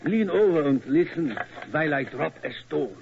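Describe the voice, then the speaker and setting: An actor playing a fussy middle-aged tower keeper who talks in stiff, inverted phrases, old muffled radio recording. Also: male voice, a little high, lively intonation, normal speed, gravelly, noisy background. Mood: formal. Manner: formal, inverted word order. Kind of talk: 1940s radio acting.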